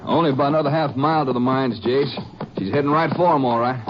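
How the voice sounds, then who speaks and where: A man's voice: mid-range voice, fast, music in the background, lightly acted. Actor as a folksy lawman sidekick, muffled old radio. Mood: matter-of-fact. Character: plain-spoken male Ranger sidekick who knows the country. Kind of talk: 1950s radio drama acting. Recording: noisy, muffled old-time radio recording.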